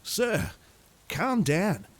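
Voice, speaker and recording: A man voicing a calm Australian male dog walker with an Australian accent, pitched higher than his own voice. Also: very slow, full character voice. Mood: puzzled.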